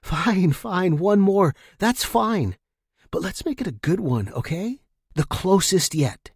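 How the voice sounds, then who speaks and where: A man acts out a nervy male fugitive in an audio story. His voice is raised in pitch and husky, eager and insistent, pleading as he bargains. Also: very animated, takes it slowly, no echo, clean studio sound, full character voice. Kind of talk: story character dialogue.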